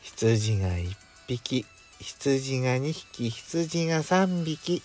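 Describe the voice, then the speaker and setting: A man playing a calm, indulgent boyfriend with a dry, gentle manner in an intimate bedtime audio drama. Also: mid-range voice, extremely slow, soft background music. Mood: soothing. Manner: gentle, dry, masculine wording. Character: gruff but doting male lover, calm and indulgent.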